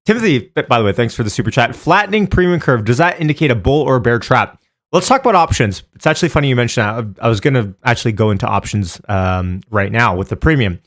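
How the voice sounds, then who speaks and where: A man's voice: mid-range voice, expressive, fast talker, fairly bright tone. Man hosting a casual live stream. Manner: informal, chatty, addresses viewers by name. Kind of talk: casual live stream chat and stock options commentary.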